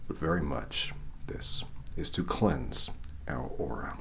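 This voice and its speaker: A man softly guiding an ASMR reiki session, half-whispering slowly and checking in with "okay?". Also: extremely low pitch, very animated, gravelly. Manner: whispery, slow and soothing, frequent "okay?" check-ins. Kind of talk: soft-spoken ASMR guided session.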